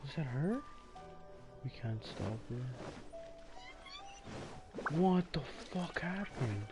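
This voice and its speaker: Gamer guy, swearing and startled, slow and up-and-down. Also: mid-range voice, sing-song, over music. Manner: startled, profane.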